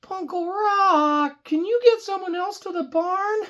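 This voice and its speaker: A woman voicing an excitable, lonely, childlike puppet horse in a children's puppet show, pleading in drawn-out words. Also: very high voice, muffled recording, voice pushed up.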